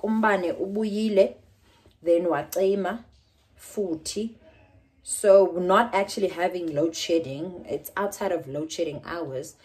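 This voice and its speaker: A young woman with a South African Xhosa accent, talking in a slightly low voice. She rambles casually and switches between languages. She speaks into a phone held at arm's length in a small bedroom. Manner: rambling, code-switching, with soft laughs. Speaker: vlogger. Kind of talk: casual vlog chat. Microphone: phone camera at arm's length.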